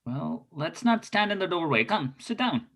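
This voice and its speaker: A man's voice: a little high, big pitch swings, moderate pace, bright, forceful tone, slightly rough, heavily acted. A man playing an earnest thirty-something spy in a scripted table read, speaking in formal, stilted phrases. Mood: welcoming.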